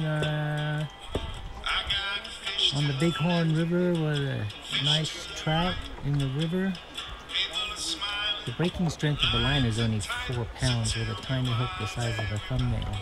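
A man's voice: mid-range voice, steady tone, a little slow. A man giving casual live commentary outdoors, exclamatory and encouraging as he follows the fishing. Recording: loud music over outdoor boat footage.

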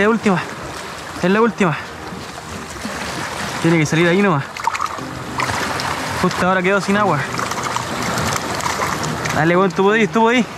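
A man with a Chilean accent chatting casually and excitedly as he fishes outdoors, using slang and repeating short phrases. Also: sits high, background noise. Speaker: fishing vlogger. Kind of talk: casual vlog chat while fishing. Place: outdoors on a rocky seashore. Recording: loud music behind the outdoor voice. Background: loud music.

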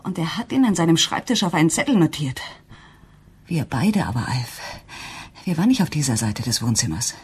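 Marlies, a breathy, distraught wife. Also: female voice, sits low, very animated, a little mellow, heavily acted. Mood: accusing. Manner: halting, intense, often dropping to a whisper. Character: distraught wife Marlies, torn and quietly accusing. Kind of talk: German radio crime drama acting.

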